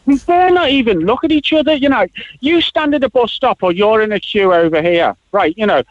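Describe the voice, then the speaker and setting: High-voiced chatty caller. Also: male voice, lively intonation, brisk, no echo, highs cut off. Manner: rambling, chatty, full of "you know" and "right". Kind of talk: phone-in call.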